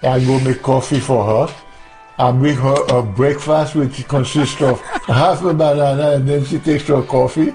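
An elderly man with a Haitian accent talks very slowly in a casual family chat. He is playful and rambles a little, with faint music behind him. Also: medium pitch, fairly even intonation. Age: 80s. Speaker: interviewee, father. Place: at home, recorded by a relative.

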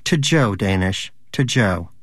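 A man's voice: fairly low pitch, expressive, slow, a bit bright, no echo, clean studio sound, full character voice. A man playing a preachy, indignant caged pet. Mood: reproachful. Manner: preachy, reproachful. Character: pious, indignant, hungry male caged pet.

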